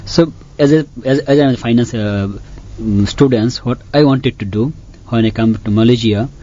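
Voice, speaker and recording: A man's voice: mid-range voice, moderate pace, mellow. A man from Bangladesh, a PhD student guest on a panel, speaking earnestly in slightly broken phrasing. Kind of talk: panel discussion, casual. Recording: dull lo-fi sound with faint hiss.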